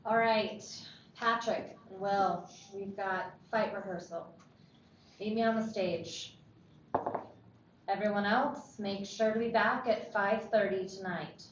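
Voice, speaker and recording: A woman playing a worn-out forty-five-year-old male high school drama director at a table read. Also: takes it slowly, slight background noise, natural voice. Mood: weary, instructing. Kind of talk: screenplay table read dialogue. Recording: echoey, distant, dull room recording.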